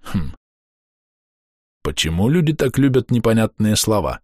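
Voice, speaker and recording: A man reading a first-person diary aloud in a calm, matter-of-fact, measured way. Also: lowish voice, no room sound, studio quality. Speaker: audiobook narrator.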